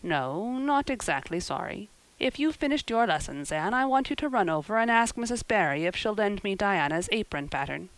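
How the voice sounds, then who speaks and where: A woman voicing a stern older guardian in a storybook, with a brisk, blunt, scolding manner and dry sarcasm. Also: medium pitch, lively intonation, dry recording, voice pushed up, lightly acted, poor audio. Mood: dry, sarcastic.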